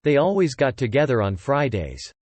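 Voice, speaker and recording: A man reads scripted audiobook narration with lively, varied intonation. His voice sits at middle pitch and is recorded dry and close. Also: normal speed, no room sound.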